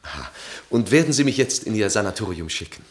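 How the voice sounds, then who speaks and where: A man's voice: on the low side, sing-song, fast. An actor playing a troubled, self-absorbed, proud married man in a German radio play, speaking formally and earnestly. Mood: anguished, subdued.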